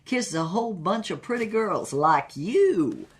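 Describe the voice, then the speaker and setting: A man's voice: high-pitched, very animated, measured pace, voice pushed up. A young male rock-and-roll movie star from the American South as a storyteller puts him on. He talks in a folksy, swaggering drawl, built for a comic audio story. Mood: breezy, boastful. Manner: folksy, refers to himself in third person.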